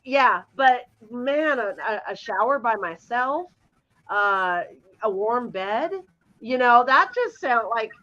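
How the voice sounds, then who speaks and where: An enthusiastic woman in her fifties chats freely as a podcast co-host, her voice sitting fairly high. The sound comes through a dull, lo-fi video-call line. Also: animated, slow, sharp tone. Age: 50s. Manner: enthusiastic, chatty. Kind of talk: casual chat. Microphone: remote video call.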